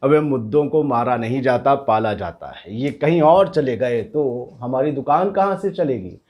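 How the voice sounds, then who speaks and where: A man's voice: mid-range voice, animated, measured pace, a little mellow, natural voice. A man acting as a cocky, cynical street agitator in a satirical drama, with brash, boastful, slangy talk that turns to menace. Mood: smug. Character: cocky, cynical street agitator paid to stir religious hatred. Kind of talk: scripted satirical dialogue in street Hindi.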